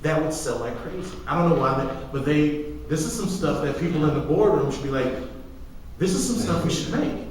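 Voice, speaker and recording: A man's voice: fairly high pitch, expressive, a little fast, a little mellow. An echoey, hall-like recording catches a young man some way from the microphone, with faint noise behind him. He chats casually as a podcast co-host, pushing his opinions hard, with sarcasm and the odd curse. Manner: emphatic, ranting, sarcastic, sweary. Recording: echoey hall, distant mic, light noise.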